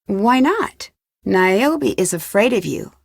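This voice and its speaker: A woman's voice: medium pitch, sing-song, takes it slowly, vocal fry, no room sound, clean studio sound, heavily acted. A woman playing a curious, composed young female detective in an adventure game, asking direct and unflinching questions. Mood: probing, composed.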